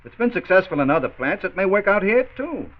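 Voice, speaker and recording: An earnest deputy to a factory boss in a 1940s radio drama. He talks quickly and eagerly, with lively pitch movement and a reasonable, helpful tone. The recording is tinny and phone-like. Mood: earnest urging.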